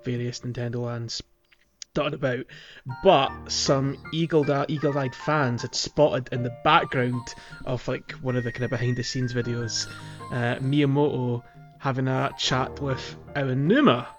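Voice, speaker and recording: A man co-hosts a casual gaming podcast at a middling pitch. He speaks in a loose, hesitant ramble. Music sits in the recording with his voice. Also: steady tone, a little slow. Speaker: podcast co-host.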